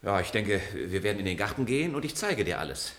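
Low-voiced, hesitant father. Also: very animated, quick pace, fairly bright tone. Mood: musing. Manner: conversational, musing, somewhat hesitant. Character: easygoing, hesitant middle-aged father and robot owner. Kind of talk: German science-fiction radio play acting. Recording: roomy radio studio sound.

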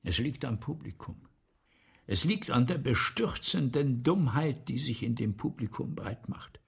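A vain, self-pitying man of letters in a radio drama. His husky, creaky voice moves slowly and deliberately, with wide melodic swings. He sounds haughty, weary and full of scorn. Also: medium pitch, expressive, full character voice. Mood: scornful, weary. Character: vain, embittered male playwright scorning his audience. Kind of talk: theatrical German radio drama monologue.

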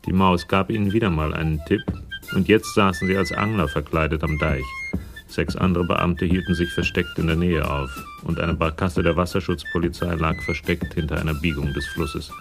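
A man's voice: low voice, fairly even intonation, moderate pace, gravelly, music in the background. A male actor narrating a German radio crime drama in a detached, dry, literary storytelling style.

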